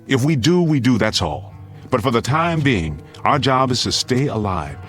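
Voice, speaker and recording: An American man playing an anxious but steady father in a radio drama, speaking in a firm, earnest, commanding way. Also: mid-range voice, normal speed, soft background music. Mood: stern. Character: anxious but steady father preparing family for nuclear attack.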